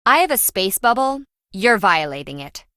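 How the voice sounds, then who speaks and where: A woman's voice: medium pitch, very animated, moderate pace, pushed and bright, no room sound, clean studio sound. An actress playing a greedy, sarcastic treasure-hunting businesswoman in a video game, blunt and dismissive. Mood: brash, blunt. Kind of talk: video game character dialogue.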